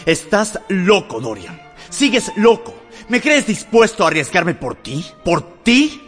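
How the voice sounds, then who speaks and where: An actor playing an agitated, indignant gentleman in a radio drama, with a vehement, dramatic delivery. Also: sits high, sing-song, slow, heavily acted. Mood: indignant, disgusted. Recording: reverberant hall sound over music.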